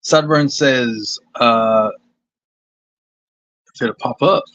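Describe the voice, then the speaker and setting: A man's voice: medium pitch, slow pace, fairly bright tone, no room sound, lo-fi sound. A man with a Kentucky accent, a comic artist talking casually and self-critically about his pages on a livestream. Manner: rambling, self-critical, hesitant. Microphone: phone.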